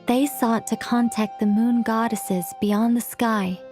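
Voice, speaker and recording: High-voiced, wordy scholarly mage. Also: female voice, steady tone, slow pace, darkish tone, soft background music. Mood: solemn narrating. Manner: long-winded explaining, flustered when interrupted. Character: eccentric scholarly female mage, wordy and absent-minded. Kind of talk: video game voice acting.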